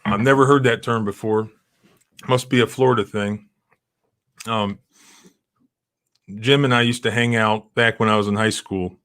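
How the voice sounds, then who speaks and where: A man's voice: lowish voice, fairly even intonation, normal speed, long pauses, fairly bright tone. A middle-aged man hosting a live stream, talking in a rambling, emphatic way with many restarts. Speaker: civil rights lawyer hosting a live stream. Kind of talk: casual live-stream commentary.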